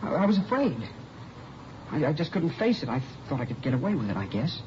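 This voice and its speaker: A man's voice: a little high, big pitch swings, a little fast. Casting: an uneasy young man under questioning, evasive and pleading, on a noisy 1950s radio recording. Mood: distraught, pleading. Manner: defensive, faltering, pleading. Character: frightened evasive young man cornered as a suspect. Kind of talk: 1950s radio crime drama acting. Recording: muffled vintage radio recording, clear hiss.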